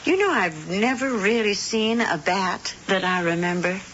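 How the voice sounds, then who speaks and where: An actress playing an elderly witch and doting wife, gentle and fussing, in a 1970s radio drama. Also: medium pitch, expressive, unhurried, a little hiss or hum. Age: older. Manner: gentle, fussing, sweetly motherly. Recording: dull lo-fi old radio broadcast.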